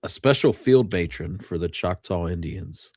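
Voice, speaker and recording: Guy with a lowish voice, co-host, short casual reactions. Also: lively intonation, moderate pace, a little mellow. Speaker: podcast co-host reading listener comments. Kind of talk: casual chat, brief reactions.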